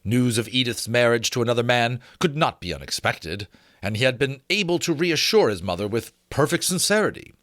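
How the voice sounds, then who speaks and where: A man reading a novel aloud as an expressive, dramatic narrator. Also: mid-range voice, fairly quick, no room sound, studio quality. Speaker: audiobook reader.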